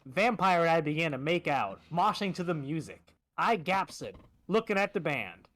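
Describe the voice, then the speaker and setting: High-voiced man reading a story comically. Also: sing-song, normal speed, fairly bright tone. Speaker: streamer reading fan fiction aloud.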